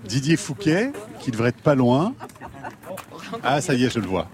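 A man hosting a radio report, asking brisk questions outdoors on an island quay. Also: medium pitch, big pitch swings, noisy background. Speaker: radio host.

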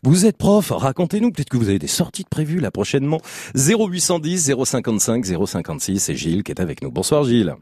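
Lively, teasing male radio host. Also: medium pitch, fast talker, slightly rough, dry recording. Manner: playful, teasing, laughs easily. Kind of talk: phone-in radio hosting.

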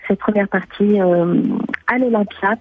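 A woman speaks as a radio interview guest in a husky voice. She hesitates often, searching for the next word. The sound is dull and narrow, as from a remote link. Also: medium pitch, unhurried, no room sound. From France. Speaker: guest singer-songwriter.

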